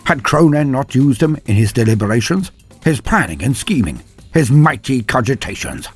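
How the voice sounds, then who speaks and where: Male narrator for comedic fantasy saga: theatrical and pompous with a wry edge, raspy tone, very lively intonation. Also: medium pitch, moderate pace, faint music behind. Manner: theatrical, grandiose, wry, with archaic flowery diction. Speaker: storyteller, channel narrator. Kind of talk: mock-epic scripted narration of a comic fantasy tale.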